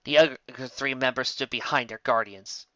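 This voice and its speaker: A man's voice: mid-range voice, moderate pace, a bit bright, dry recording. A man reading scripted fiction as a story narrator. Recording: slightly dull, close dry recording.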